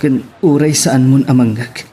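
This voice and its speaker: Man acting a heartbroken, soft-toned young Ilocano lover. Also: medium pitch, fairly even intonation, normal speed, a little hiss or hum, close to an everyday voice. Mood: tender grief. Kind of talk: Ilocano radio-style melodrama acting.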